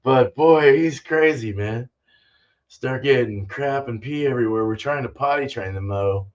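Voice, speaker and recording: Older male livestream host, mid-pitched, loose rambling casual delivery, salty language, slightly muffled lo-fi audio. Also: measured pace, a little mellow. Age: older, fifties or sixties. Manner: laid-back, salty-mouthed, says dude and man often. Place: small indoor home brewing room.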